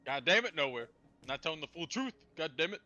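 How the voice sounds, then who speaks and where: A man who plays in a tabletop game, swearing freely and excitable as he chats after the session. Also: a little high, fast, muffled recording, faint music behind. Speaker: player. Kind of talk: casual post-session chat.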